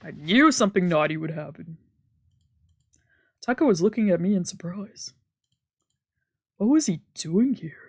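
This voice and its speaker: Low-pitched woman reading a story aloud. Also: lively intonation, unhurried, vocal fry, pauses often, husky, dry recording, clean studio sound. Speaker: streamer reading a visual novel aloud. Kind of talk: expressive narration of a visual novel, with casual asides. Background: game background music.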